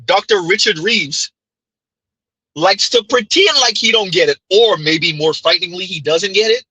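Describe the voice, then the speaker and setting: A man with a Haitian accent commenting on clips during a live stream, emphatic and rhetorical, with a husky voice. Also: high-pitched, animated, moderate pace, bright, forceful tone, dry recording, poor audio. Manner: emphatic, rhetorical "huh?" asides.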